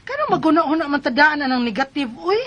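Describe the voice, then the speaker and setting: An actress playing a hopeful, upbeat young wife who sells on credit, in Bisaya radio drama style with a Cebuano accent. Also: female voice, high voice, animated, quick pace, fairly bright tone, highs cut off.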